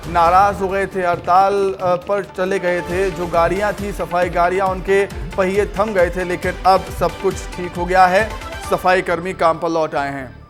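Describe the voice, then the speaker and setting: Guy anchoring tv news, high voice, emphatic headlines, faint music bed. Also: little pitch movement, measured pace. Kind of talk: television news reading.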